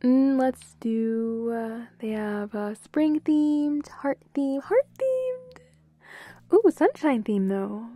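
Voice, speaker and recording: A woman playing a bubbly, playful, affectionate young girlfriend in an intimate roleplay audio, giggly and given to quick repeated phrases. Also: high voice, dry recording, heavily acted. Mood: playful, excited. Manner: giggly, breaks into short excited repeats.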